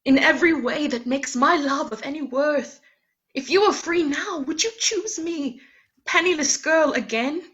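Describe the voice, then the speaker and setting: An actress playing a ghostly guide who is also a young woman named Belle, speaking in a calm, measured, gently reproachful way in a radio play. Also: high voice, highs cut off. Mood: sorrowful.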